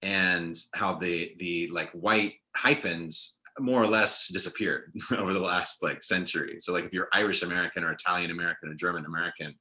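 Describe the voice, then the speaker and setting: Low-voiced man musing on a video panel. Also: brisk, few pauses, rough recording. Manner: relaxed, reflective, many "like"s and restarts. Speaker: film director and panelist. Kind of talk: panel discussion over video call. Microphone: video call.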